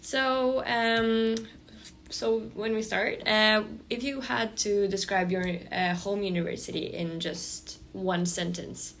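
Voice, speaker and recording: A young woman hosting a relaxed student podcast, asking questions in a casual conversational way. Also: medium pitch, slow pace. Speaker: podcast host. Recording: faint background noise, room ambience.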